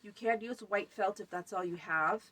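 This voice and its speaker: A woman with a blocked, stuffy nose talks viewers through a homemade craft in a loose, conversational way. She ambles from item to item with frequent ums and uhs. Her voice sits at an ordinary middle pitch. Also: moderate pace, fairly bright tone, poor audio. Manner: chatty, many fillers, lists items. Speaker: folk magic channel host. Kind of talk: casual spoken tutorial.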